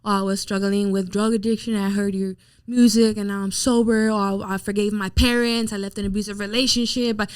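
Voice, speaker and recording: A woman's voice: medium pitch, flat delivery, a little fast, clear, resonant tone, no echo, studio quality. A woman in her thirties, a musician guest in a casual interview, telling her story candidly and with animation. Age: 30s.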